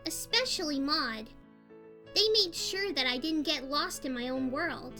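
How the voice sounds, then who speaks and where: A woman voicing a cheerful, hyper young female party pony in a fan fiction audio story, girlish and expressive. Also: a little slow, heavily acted. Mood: gentle, reflective. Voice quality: high-pitched, girlish. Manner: bubbly, expressive. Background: music.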